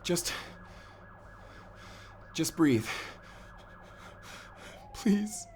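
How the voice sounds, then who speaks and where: An actor playing a devoted, defiant lover in an emotional cyberpunk audio drama. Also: male voice, high voice, sing-song, drawn-out words, a little mellow, slight background noise, exaggerated voice. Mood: pleading.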